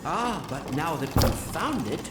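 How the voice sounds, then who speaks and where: Excitable, erudite older scientist, lively pitch. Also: male voice, measured pace, very noisy. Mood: awed, explaining. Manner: erudite, fussy wording. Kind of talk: video game voice acting. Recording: echoey, distant, loud music behind.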